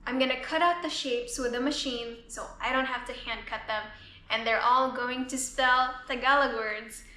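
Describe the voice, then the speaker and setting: A woman in her twenties from the Philippines, vlogging casually as an artist in her studio, chatty and relaxed with lots of "like" fillers. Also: high voice, normal speed. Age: 20s. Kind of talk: casual vlog chat. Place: art studio. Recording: echoey room with faint music.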